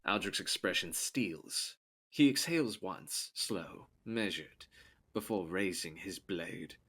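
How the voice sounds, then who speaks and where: A man narrating a gothic audio drama from a script in a solemn, measured, dramatic way. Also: sits low, lively intonation, bright, forceful tone, husky.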